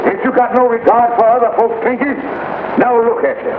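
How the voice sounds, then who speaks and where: A boastful scheming lodge leader. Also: male voice, extremely high pitch, fairly even intonation, roomy sound. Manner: fast-talking, bossy, swaggering. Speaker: Kingfish. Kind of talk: 1950s sitcom acting. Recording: tinny old film soundtrack with loud hiss.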